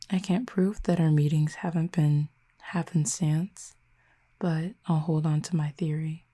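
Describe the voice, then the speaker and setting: A woman voicing a calm, reserved, quietly fond cupid in an intimate audio roleplay, speaking softly in measured, formal, gently teasing phrases. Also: fairly low pitch. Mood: lightly amused. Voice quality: soft, breathy, hushed.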